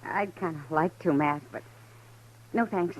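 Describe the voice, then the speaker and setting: A woman's voice: fairly low pitch, measured pace, darkish tone. A woman playing a wry, teasing saloon hostess in a 1950s radio drama. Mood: wistful. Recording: dull old radio recording.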